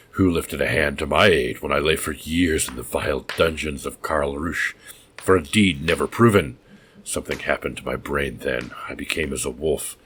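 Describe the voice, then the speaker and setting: A man voicing a mad, vengeful innkeeper, an escaped prisoner who booms and roars with wild laughter. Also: fairly bright tone, a little hiss or hum.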